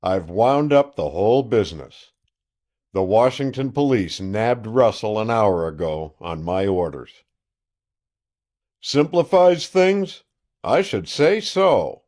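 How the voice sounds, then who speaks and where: A man reading aloud voices a self-satisfied male county sheriff who is loud, triumphant and blustering. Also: fairly low pitch.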